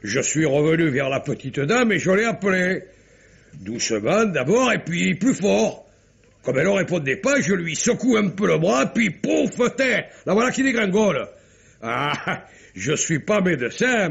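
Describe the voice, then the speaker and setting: Gravelly, chatty French bistro owner. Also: male voice, high voice, sing-song, measured pace, exaggerated voice. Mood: garrulous. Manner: colloquial, rambling, exclamatory. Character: chatty, folksy male bistro owner recalling a drama. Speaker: actor. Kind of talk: 1950s French radio drama acting. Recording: muffled old radio recording.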